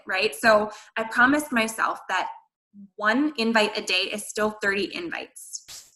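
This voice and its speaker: A woman with a bright, forceful voice speaks to her team in an earnest, candid way. She encourages her listeners, with everyday fillers running through her talk. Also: fairly high pitch, rough recording. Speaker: guest speaker at a team training. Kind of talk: motivational talk to a sales team.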